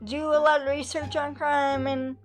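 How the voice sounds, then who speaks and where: A woman's voice: high-pitched, flat delivery, moderate pace, faint music behind. A woman commenting on online drama, hesitant and musing, often trailing off. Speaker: commentary youtuber. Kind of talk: slow reflective commentary.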